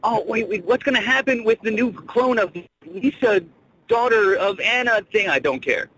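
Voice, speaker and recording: A man's voice: high voice, lively intonation, normal speed, sharp tone, husky. A forty-year-old man from Philadelphia leaving a joking, mock-dramatic voicemail message for a show. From Philadelphia, United States. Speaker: voicemail caller. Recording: noisy voicemail recording with ambience.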